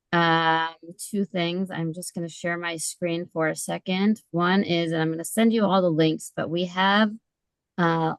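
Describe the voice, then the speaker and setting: A low, gravelly-edged woman's voice hosts a book event over a video call. She is chatty and loose, with plenty of fillers and easy laughs. The sound is dry and close. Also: a bit bright, no room sound, poor audio. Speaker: event host and moderator. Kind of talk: casual moderating on a video call.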